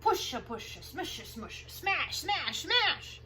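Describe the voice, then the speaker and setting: Woman, children's storytime reader, bright forceful voice, unhurried pace, expressive sing-song delivery, some background noise. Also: sits high. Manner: expressive, drawn-out sound words, sing-song repetition. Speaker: storytime host reading to children. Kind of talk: animated picture-book read-aloud for young children.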